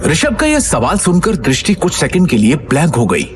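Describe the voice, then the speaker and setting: A man's voice: on the high side, huge pitch swings, normal speed, music in the background. A man narrating a Hindi audio drama in a dramatic, scripted storytelling style.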